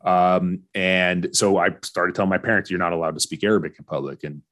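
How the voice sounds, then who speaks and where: A man in his forties with an American accent and a fairly low voice. He speaks as an interview guest, candid and informal. His delivery halts and restarts, with phrases repeated for emphasis. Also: fairly even intonation, fairly quick, fairly bright tone. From Orange County, California. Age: 40s. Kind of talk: candid personal storytelling in conversation.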